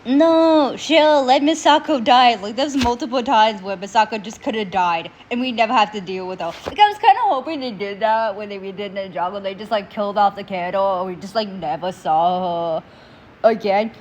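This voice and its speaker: A young woman with a stuffy nose and sore throat, hosting a casual reaction video in an animated, opinionated way. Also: mid-range voice, moderate pace, a little hiss or hum. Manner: animated, opinionated ranting to viewers.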